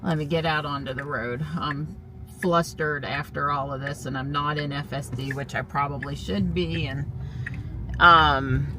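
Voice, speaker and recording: A woman's voice: deep voice, fairly even intonation, measured pace, noisy background. A middle-aged woman with a stuffy nose chatting casually through her day as a vlogger, in her car and out in the yard. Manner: chatty, talks to herself and pets. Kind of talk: casual vlog narration.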